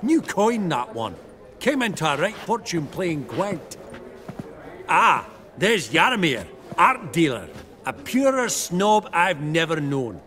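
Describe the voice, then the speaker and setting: A jovial dwarf banker. The voice is high and bright, swinging widely in pitch. He is brash and chummy, swears freely, and belongs in a fantasy video game. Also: big pitch swings, slow pace, exaggerated voice. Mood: chatty. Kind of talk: video game character acting.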